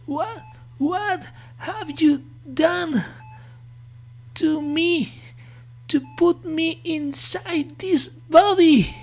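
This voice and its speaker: Woman acting a terrified, high-pitched pleading woman. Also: drawn-out words, gravelly, heavily acted. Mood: distressed, pleading. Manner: pleading, panicked. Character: terrified forty-year-old woman trapped in an old body. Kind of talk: horror story character acting.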